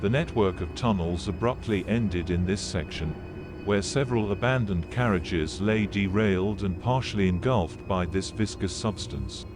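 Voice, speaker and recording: Low-voiced man narrating a grim documentary. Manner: measured, formal, matter-of-fact. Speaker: narrator of an analog horror video. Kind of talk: serious scripted documentary-style narration. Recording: played video with background music. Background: music.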